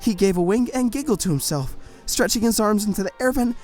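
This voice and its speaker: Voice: medium pitch, expressive, brisk, a little mellow, soft background music, natural voice. A performer narrating in first person as a harried prison warden, frantic and exasperated, in a fan audio drama.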